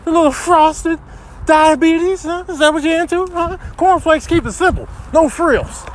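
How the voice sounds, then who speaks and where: Indignant old cartoon rooster, played by a man: very high voice, scolding rambles, noisy outdoor clip sound. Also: a little slow. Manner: scolding, rambling, punctuated with questioning grunts. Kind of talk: comic animal voiceover.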